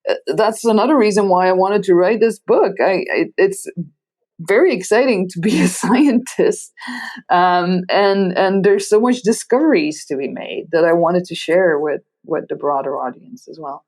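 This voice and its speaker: Middle-aged Belgian woman with a Dutch accent, lowish voice; thoughtful podcast guest who restarts and hedges often. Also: moderate pace, a little mellow. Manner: thoughtful, hesitant with frequent restarts and fillers. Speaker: guest scientist. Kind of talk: podcast interview.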